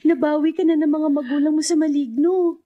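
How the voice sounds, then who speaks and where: A woman's voice: high voice, fairly even intonation, brisk, lo-fi sound, close to an everyday voice. A Filipino woman playing a cheerful, reassuring young friend in a radio drama, with a teasing, coaxing manner. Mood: gentle, reassuring.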